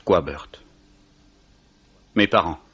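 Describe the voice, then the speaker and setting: An actor playing a grieving, self-deprecating young gentleman just out of university, subdued and wry, in 1950s French radio drama. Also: low voice, slow, breathy edge, no room sound, heavily acted. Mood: stunned grief. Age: young adult. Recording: dull old radio recording.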